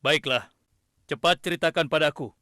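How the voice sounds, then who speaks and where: A man's voice: on the high side, dry recording, studio quality. A man playing a stern but just prophet-king in a children's cartoon, speaking in a formal, commanding way. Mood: grave, commanding. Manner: commanding, formal, deliberate royal speech. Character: stern, just prophet-king Sulaiman, quick to threaten punishment. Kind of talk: Indonesian children's religious cartoon acting. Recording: loud music behind the voice.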